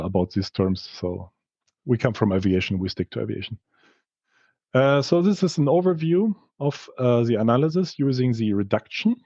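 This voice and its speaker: A man who speaks English as a second language gives a technical research presentation in a halting, explanatory way, correcting himself as he goes. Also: medium pitch, a little mellow, no echo. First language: not English. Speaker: researcher presenting study results. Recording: slightly dull, dry lo-fi audio.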